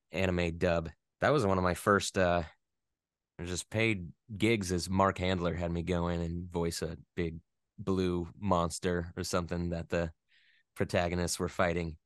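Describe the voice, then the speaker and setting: A man's voice: low voice, smooth, dry recording, studio quality. A young adult man in a casual interview, rambling with many fillers and self-corrections. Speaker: voice actor guest.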